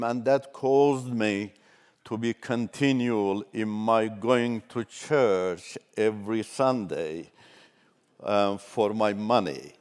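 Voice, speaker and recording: An older man from Iran whose first language is Turkish, giving a measured, halting personal testimony as a ministry speaker. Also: on the low side, smooth.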